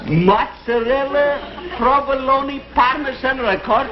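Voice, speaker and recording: A man playing a flustered, stingy Italian husband in a 1960s TV comedy sketch, speaking excitable broken English with a put-on Italian accent. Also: very high-pitched, a little slow, slight background noise. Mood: eager, puzzled. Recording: dull echoey 1960s TV soundtrack, audience, music.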